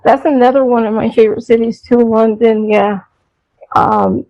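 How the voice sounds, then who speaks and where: A woman hosts a relaxed interview, pausing often with "um" and "uh" between her questions. Her voice sits on the higher side with a gravelly edge. The recording sounds dull and lo-fi. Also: no room sound. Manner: hesitant, many fillers. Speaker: podcast host. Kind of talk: casual interview.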